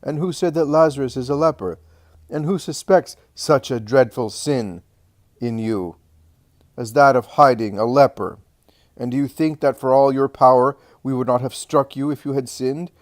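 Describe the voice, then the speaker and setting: A man's voice: medium pitch, no echo, clean studio sound, voice pushed up, natural voice. A man playing a pompous, mocking male religious elder, insinuating and insistent in questioning, in a dramatic story reading. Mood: pompous, stern. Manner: insistent, smooth-tongued questioning.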